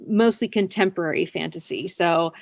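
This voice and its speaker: A woman's voice: on the low side, moderate pace, gravelly, dry recording. A woman writer speaking casually as an interview guest, chatty, often laughing as she talks. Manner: chatty, laughs while talking.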